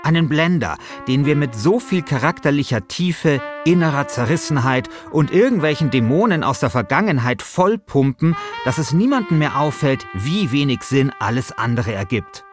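A man's voice: a little high, lively intonation, moderate pace, hardly any pauses, music in the background. A man hosting a podcast, reading scripted narration in a wry, tongue-in-cheek manner.

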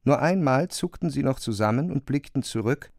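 A man reads literary prose in a measured, articulate way, as a narrator. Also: medium pitch, expressive, creaky, darkish tone, dry recording, studio quality.

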